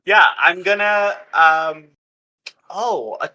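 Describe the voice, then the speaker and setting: A man playing a tabletop roleplaying game, talking through his moves casually but with deliberate, somewhat formal phrasing. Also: high-pitched, pushed and bright, poor audio.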